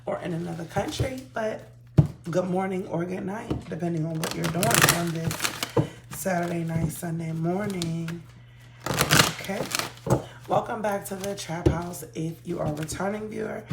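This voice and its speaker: A hoarse woman giving a relaxed, chatty tarot reading to her viewers, with frequent ums. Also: fairly low pitch, roomy sound, very noisy. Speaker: tarot reader.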